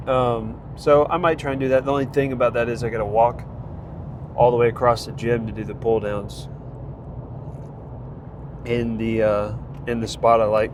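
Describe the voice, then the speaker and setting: A man with a congested voice giving a rambling, casual vlog update on his training, full of fillers and restarts. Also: mid-range voice. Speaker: vlogger tracking a training program. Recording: noisy outdoor recording.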